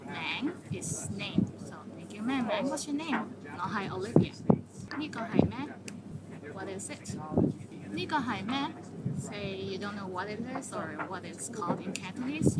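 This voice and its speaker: A woman hosting a casual language lesson, saying each phrase slowly and then explaining it in English. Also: mid-range voice, lively intonation. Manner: explains phrases in English between slow Cantonese examples. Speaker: language teacher. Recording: background noise.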